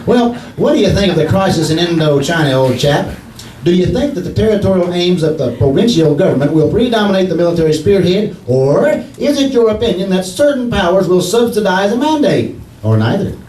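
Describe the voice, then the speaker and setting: A man's voice: high-pitched, big pitch swings, brisk, darkish tone. A man playing a blustering, pompous husband with affectedly fancy speech in a 1940s-style radio comedy. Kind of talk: 1940s radio comedy acting before a live audience. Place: live theatre stage. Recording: echoey stage, distant mic, noisy.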